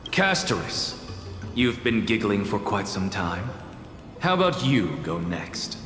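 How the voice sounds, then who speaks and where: Haughty scholar, condescending, theatrical game delivery, very animated pitch, echoing reverb over music. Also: male voice, slow pace. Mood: brusque, dismissive. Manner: pompous, condescending, withering. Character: haughty, exacting male professor and renowned scholar. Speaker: professor. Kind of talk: dramatic video game voice acting. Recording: echoey long reverb with music behind.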